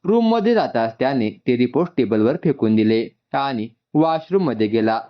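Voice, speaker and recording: A man narrating a story in a steady, even reading voice. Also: medium pitch, normal speed, highs cut off.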